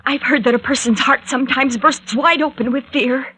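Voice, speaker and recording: A frightened young woman in peril, her voice very high and breathless. She speaks with the heightened melodrama of a 1940s radio thriller, pleading insistently, on a vintage radio recording. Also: brisk, bright, forceful tone, husky, heavily acted. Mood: panicky, dread. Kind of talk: 1940s radio serial acting.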